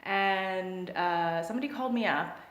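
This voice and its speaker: A woman telling a casual anecdote to the camera at a medium pitch, with a voice that rings in an echoey room. She keeps stopping and restarting, and her talk is full of fillers and laughter. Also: moderate pace. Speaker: vlogger. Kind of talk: casual storytelling.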